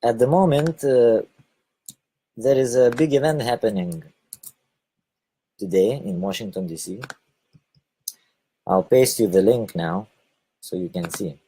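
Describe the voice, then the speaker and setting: A man from Lithuania with a Lithuanian accent, giving a halting spoken monologue and often repeating or correcting his words. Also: lowish voice, mellow, slight background noise, low-quality recording. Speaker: online video host.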